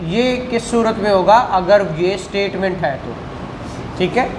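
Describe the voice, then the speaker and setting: A Pakistani man teaches law at a slow, deliberate pace, in a didactic style that checks in with questions and repeats key phrases. His voice echoes in the classroom, with clear noise behind it. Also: sits high. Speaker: law lecturer. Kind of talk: classroom lecture. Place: indoor classroom.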